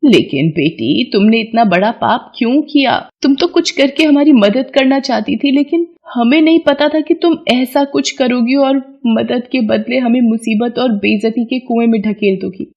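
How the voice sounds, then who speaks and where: A woman's voice: on the high side, normal speed, few pauses, highs cut off, pitched above the performer's own voice. Anguished scolding mother, voiced by a woman. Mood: reproachful, anguished. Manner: pleading, reproachful. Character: anguished village mother scolding her grown daughter. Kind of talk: dramatised moral story.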